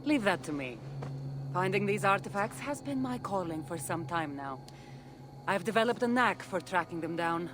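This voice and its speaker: A woman's voice: on the low side, expressive, normal speed, noisy background, natural voice. An actress playing a seasoned female artifact hunter in a video game, wry and teasing yet solemn about her duty. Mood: earnest, measured. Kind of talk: video game character dialogue.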